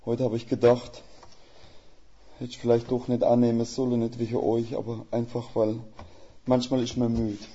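An older man preaching as a guest speaker in a folksy, plain-spoken way, with a Swiss German dialect and a gravelly voice. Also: medium pitch, dark tone. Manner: folksy, plain-spoken, with homely images and rhetorical questions. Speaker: missionary. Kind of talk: sermon. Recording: slightly dull lo-fi sound.

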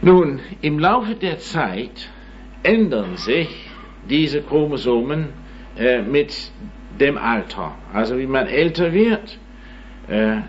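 A man in his nineties giving an informal lecture, rambling and self-mocking, with many hesitations and tag questions. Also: medium pitch. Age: 90s. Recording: dull recording with faint hum.

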